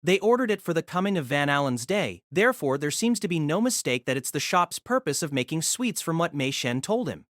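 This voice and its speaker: A woman's voice: low voice, very animated, fairly quick, hardly any pauses, no echo, clean studio sound. A woman reading scripted narration of a light novel as the narrator of an audio drama.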